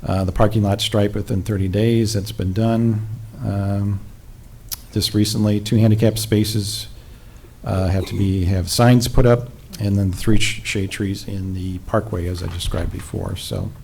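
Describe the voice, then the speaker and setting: A man on the city staff walks a zoning board through his report in a low, level voice with a dark, mellow tone. He sounds measured and procedural, pausing on small fillers as he goes. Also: steady tone, gravelly, breathy edge. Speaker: city planning staff presenter. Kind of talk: reading a staff report at a public meeting. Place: city council chamber.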